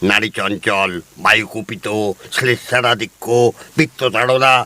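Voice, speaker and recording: An actor playing a pompous, self-assured village herbal doctor in an audio drama. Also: male voice, lowish voice, steady tone, unhurried, sharp tone. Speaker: Ayurvedic healer (kaviraj).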